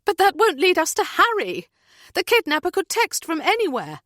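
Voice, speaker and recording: A woman's voice: extremely high pitch, wildly swooping pitch, moderate pace, sharp tone, no room sound, voice pushed up, exaggerated voice. A woman voicing a panicking mother who is close to screaming, in an audiobook. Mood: anxious. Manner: near-screaming, desperate. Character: panicking mother, frantic and shrill.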